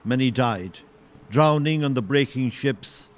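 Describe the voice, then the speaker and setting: A man narrating an audio play as a solemn storyteller, in a poetic, literary and measured style. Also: mid-range voice, lightly acted. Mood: grave. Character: solemn storyteller of a historical shipwreck tale.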